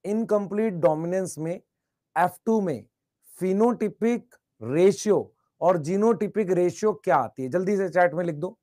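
A male teacher with a high voice, a bit hoarse today. He keeps asking the class to answer fast in the chat and repeats each point. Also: warm tone. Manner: calls students "beta", repeats questions and urges quick chat answers. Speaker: biology teacher. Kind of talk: online class lecture.

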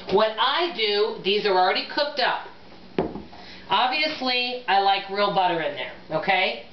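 A woman's voice: medium pitch, slight background noise. A middle-aged woman chatting casually to her viewers while she cooks, thinking aloud and calling them guys and y'all. She is recorded in a home kitchen on a camera mic across the counter. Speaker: cooking vlogger. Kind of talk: casual cook-along chat to camera. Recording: echoey kitchen, slightly dull home camera audio.

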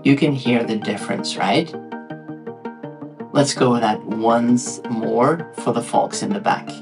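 A man's voice: medium pitch, slow, creaky. A man in his thirties with a Norwegian accent, giving a playful, chatty talking-head tutorial with guitar music underneath. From Norway. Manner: playful, chatty, with asides to viewers. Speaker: tutorial creator. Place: indoor room with frosted glass behind.

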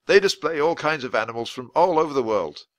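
A man voicing a tall, thin, polite older gentleman, speaking in a proper, old-fashioned way. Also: a little high, lively intonation, fairly quick, no room sound, pitched above the performer's own voice, natural voice. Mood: formal.

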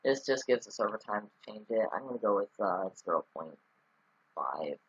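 A man's voice: on the low side, lively intonation, moderate pace, husky, muffled recording. A man talking through a casual screen-recorded coding tutorial, rambling with many ums and restarts. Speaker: scripting tutorial host.

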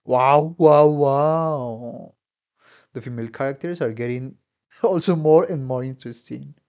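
A man's voice: mid-range voice, moderate pace, a little mellow, dull audio. A man in his thirties giving casual reaction commentary as a musician. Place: small home music room. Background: game trailer music.

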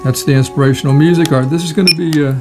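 A man's voice: on the high side, fairly even intonation, very fast, over music. A man giving a casual hands-on product demonstration in a hesitant, unscripted way. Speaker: product reviewer.

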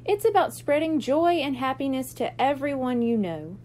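A woman voicing a patient, reassuring mother cat-mermaid in a children's storybook, gently explaining. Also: high-pitched, animated, normal speed, a little hiss or hum. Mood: gently instructive.

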